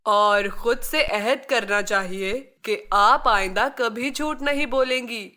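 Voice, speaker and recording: Woman voicing a caring big brother, bright and slow. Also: on the high side. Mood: gently admonishing. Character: caring, gently admonishing older brother Arsalan, a boy. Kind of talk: children's moral story character voice.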